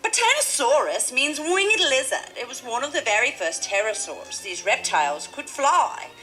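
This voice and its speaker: A woman's voice: high voice, very animated, moderate pace, bright, forceful tone, faint music behind, rough recording. A woman presenting a playful mock documentary in a theatrical, exclaiming manner. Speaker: presenter of a student educational newsreel.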